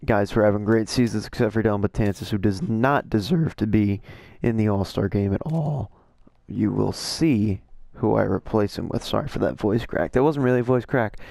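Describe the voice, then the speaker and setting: A hoarse man with a low, gravelly voice talks casually through his opinions as a YouTube creator. He rambles and corrects himself as he goes. The sound is dry and close. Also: normal speed, a little mellow, no room sound. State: hoarse, voice a little shot. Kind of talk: casual commentary over an on-screen roster.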